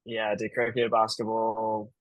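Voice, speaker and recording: A man's voice: lowish voice, monotone, normal speed, no echo, poor audio. A young man from Hong Kong, a podcast guest, answering casually with lots of fillers and restarts and frequent laughs. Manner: chatty, many fillers and restarts, laughs often. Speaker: guest, camp business manager.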